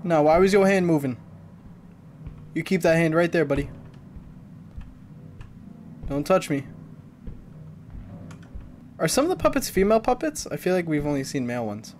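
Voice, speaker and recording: A man's voice: a little high, big pitch swings, normal speed, long pauses, a little mellow, slight background noise. A man in his twenties giving casual, wry, jokey commentary on a horror game as he chats to viewers. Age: 20s. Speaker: gaming streamer. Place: small indoor streaming room. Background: game music.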